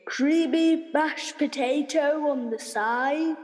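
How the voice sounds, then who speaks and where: A high voice in a long reverb, drawn out very slowly. It is an exasperated working-class prison guard, played by a woman, blunt and pleading in comic radio play style. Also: a little mellow, soft background music. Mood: coaxing. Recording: very reverberant, hall-like sound.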